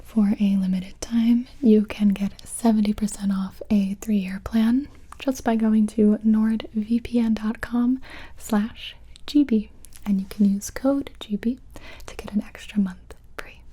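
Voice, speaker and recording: A woman's voice: medium pitch, steady tone, measured pace, dark tone, breathy edge. A woman whispering breathily as an ASMR host reading a sponsor message.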